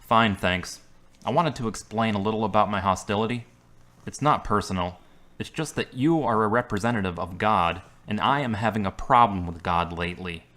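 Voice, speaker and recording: A man playing a wounded, guarded young man who speaks in a flat, terse way. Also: lowish voice, normal speed.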